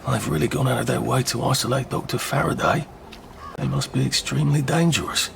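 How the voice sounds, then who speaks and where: A man's voice: mid-range voice, a little fast, vocal fry, over music. An actor playing a mild, hesitant, wry English everyman adventurer in a video game, musing politely in a British accent. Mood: wary, wry. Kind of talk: video game character acting.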